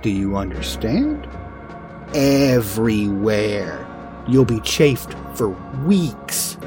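A man's voice: lowish voice, big pitch swings, extremely slow, music in the background, full character voice. A man voicing an emphatic friend who gives cautioning advice in a dramatic story reading. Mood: emphatic warning. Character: remembered friend earnestly warning about beach sand.

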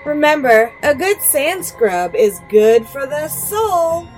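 Casting: glamorous, gushing advice lady acted by a woman, high pitch, animated delivery, story reading. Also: takes it slowly, faint music behind, heavily acted, rough recording. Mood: gushing, encouraging. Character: glamorous lady giving parting beauty advice.

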